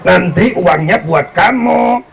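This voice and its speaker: A man playing a sly mustached tempter in a live children's story, with a gravelly, wheedling, theatrical delivery. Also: high voice, slow, music in the background, exaggerated voice.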